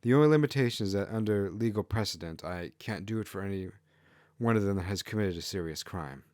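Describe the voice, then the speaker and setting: A man's voice: on the low side, fast, darkish tone, dry recording, clean studio sound, close to an everyday voice. A man voicing a principled, helpful female kitsune clan leader, confident and earnest, in a fantasy story. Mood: eager, helpful.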